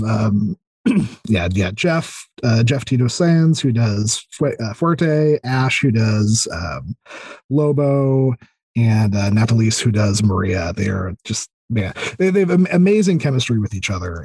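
Guy guesting on a podcast, husky voice, excited but keeps tripping over his words and restarting. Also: lowish voice, no echo. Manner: rambling, halting, repeats words and starts over; enthusiastic. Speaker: podcast guest, audio drama creator. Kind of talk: casual interview chat.

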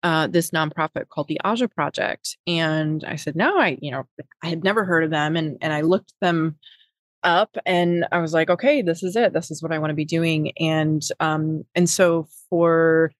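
Low-voiced Wisconsin woman, rambling. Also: fairly even intonation, normal speed, no room sound. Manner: rambling, many fillers and restarts. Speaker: podcast guest, nonprofit executive. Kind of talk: casual interview talk.

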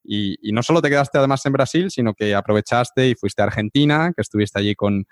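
A man's voice: mid-range voice, fast talker, no echo, clean studio sound. A man hosting a casual podcast interview in an informal, encouraging manner.

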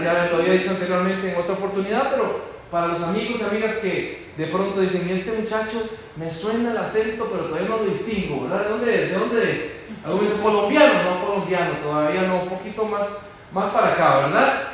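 A man's voice: high voice, fairly quick. A man with a Costa Rican accent preaching and teaching in a church, praying and asking the congregation questions with emphasis. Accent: Costa Rican Spanish. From Costa Rica. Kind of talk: church teaching talk with prayer. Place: large echoey church hall. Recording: very echoey hall with faint noise.

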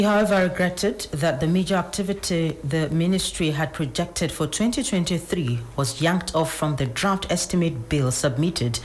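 A Nigerian woman reading scripted radio news in a formal, measured way. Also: low-pitched, slight background noise, low-quality recording. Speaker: radio newsreader.

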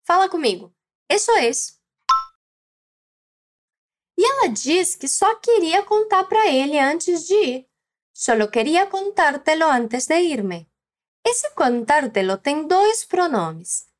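A woman with a Brazilian Portuguese accent, teaching a language lesson slowly and clearly, prompting the listener to repeat. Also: high voice, big pitch swings. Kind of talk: scripted lesson narration.

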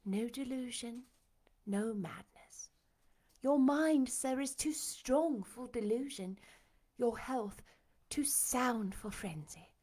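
A woman voicing a tender, devoted young woman in an audiobook, soft-spoken, respectful and reassuring. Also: a little high, slow pace. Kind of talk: audiobook character acting.

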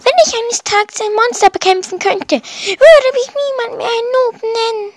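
A woman's voice: extremely high pitch, a little slow, pitched above the performer's own voice. A woman voicing a young villager boy from a storybook, a mocked noob who earnestly and dramatically longs to be a warrior. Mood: wistful, yearning.

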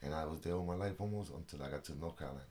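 A middle-aged man from Puerto Rico, a boxing coach chatting casually in an interview, animated and joking with frequent laughs. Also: extremely low pitch, racing.

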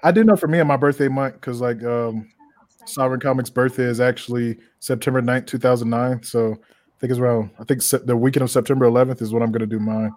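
A man's voice: medium pitch, fairly even intonation, quick pace, a little mellow. A man in his thirties, a guest on a casual group podcast, chatty and telling stories with acted-out quotes. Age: 30s. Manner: chatty storyteller, acts out quotes.

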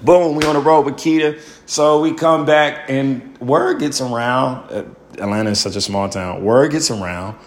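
A young male stand-up comedian with a loud voice, telling his story in an animated interview and acting out the people he quotes. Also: mid-range voice, moderate pace, slight background noise. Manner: acts out quoted people in bursts.

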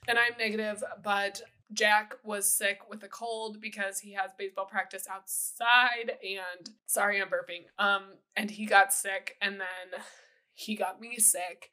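Bright, slightly raspy woman podcasting. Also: medium pitch, level tone, a little slow. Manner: frank, slangy, sweary. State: recovering from a cold. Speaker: podcast host. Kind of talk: casual podcast chat.

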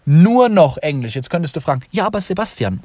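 A man's voice: fairly high pitch, very animated, fairly quick, dark tone, dry recording. A young man hosting a podcast, talking freely and emphatically, repeating points to drive them home. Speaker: podcast host. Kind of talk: unscripted motivational monologue.

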